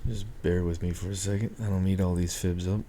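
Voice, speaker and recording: A man walking through market charts on a screen share, thinking aloud with many ums and a hoarse voice. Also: low-pitched, flat delivery, brisk, mellow, a little hiss or hum. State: hoarse, clearing his throat. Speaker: market analyst.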